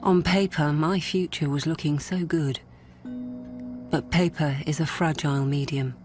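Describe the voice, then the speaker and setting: A low-voiced, reflective woman narrator. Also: fairly even intonation, a little mellow, faint music behind. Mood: reflective, earnest. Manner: measured, reflective storytelling. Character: reflective, earnest woman lawyer recalling her life. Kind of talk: radio drama narration.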